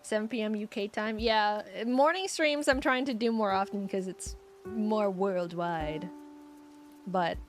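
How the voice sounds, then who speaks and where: A woman's voice: mid-range voice, unhurried, faint music behind. Scratchy-voiced young woman chatting. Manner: rambling, giggly, lots of fillers and restarts. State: voice getting scratchy. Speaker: streamer. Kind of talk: casual chat with live chat.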